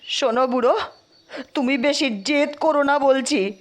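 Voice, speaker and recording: A woman playing a desperate, hot-headed mother in an audio story, sharp-tongued and menacing with emphatic delivery. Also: high voice, unhurried. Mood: warning. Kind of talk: Bengali audio story acting.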